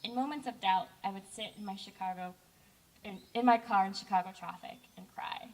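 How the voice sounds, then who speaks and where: A woman's voice: medium pitch, brisk. An earnest young woman delivers a personal speech to a camp crowd. Her voice sits mid-range and comes across a little distant from the microphone. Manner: heartfelt, earnest, with brief self-corrections. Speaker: camp director giving a speech.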